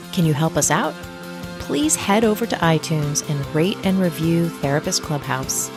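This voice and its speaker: A woman hosting a podcast, affirming her guest and chatting through a short solo segment. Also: low-pitched. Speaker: podcast host.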